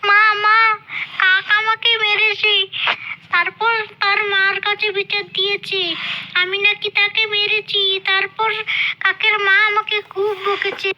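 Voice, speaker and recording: A woman's voice: very high voice, little pitch movement, slow, sharp tone, muffled recording, a little hiss or hum, exaggerated voice. A woman voicing a small, whiny young bird child who tattles to mother, in a cartoon dub. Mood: upset, whiny.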